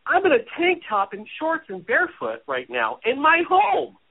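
A man's voice: very high-pitched, big pitch swings, normal speed. A man in his forties from Hawaii, a business strategist speaking as a guest in a casual interview from home, chatty and self-deprecating. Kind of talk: casual talk show interview. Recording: phone-like audio.

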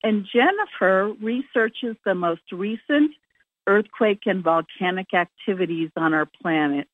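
A woman's voice: mid-range voice, a little slow, no room sound. A woman hosting a conference call and introducing the next segment. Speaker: host. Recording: tinny dry phone line.

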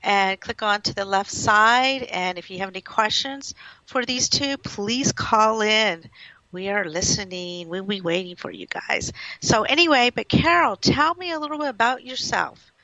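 Female radio host, bright and forceful, dry lo-fi sound, effusive. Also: mid-range voice, expressive, measured pace, no echo. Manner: effusive, rambling praise. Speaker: radio talk show host. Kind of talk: casual radio interview. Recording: dull, lo-fi radio audio.

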